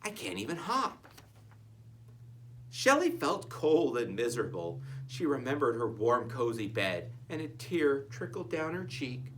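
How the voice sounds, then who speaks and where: An expressive storybook narrator with a bright voice and hugely varied pitch. The reading is playful and aimed at children, with chatty asides along the way. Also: a little slow, hardly any pauses, a little hiss or hum. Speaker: storybook reader.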